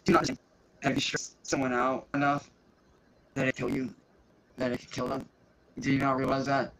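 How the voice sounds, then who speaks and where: A man's voice: mid-range voice, monotone, brisk, a bit bright. A man on a livestream ranting angrily, with heavy profanity and threatening emphasis. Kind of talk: angry livestream rant. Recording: dull lo-fi stream audio with faint hiss.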